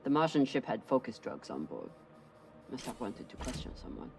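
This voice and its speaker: An actor playing a seasoned, pragmatic spaceship crew member in a video game, speaking in a dry, terse way. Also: male voice, medium pitch, sing-song, moderate pace, gravelly, a little hiss or hum. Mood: low, musing.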